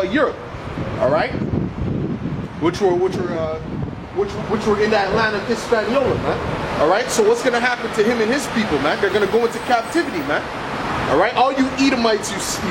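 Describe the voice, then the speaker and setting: A man preaching on the street in a confrontational, insistent, accusatory way, punctuating his points with repeated tags like "man" and "all right". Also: sits high. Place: outdoors on an urban street. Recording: very loud outdoor city traffic noise.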